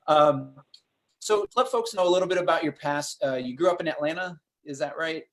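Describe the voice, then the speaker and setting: Halting man hosting an interview. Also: fairly high pitch. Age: 40s. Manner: halting, many ums and restarts. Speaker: host.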